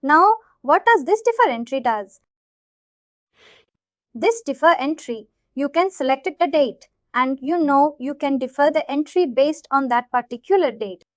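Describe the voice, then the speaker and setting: A woman working as a software trainer, narrating an informal screen-share tutorial in an explanatory way, often checking in as she goes. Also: high-pitched, expressive, unhurried, dry recording. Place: voice-over of a screen recording. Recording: dull, lo-fi close dry audio.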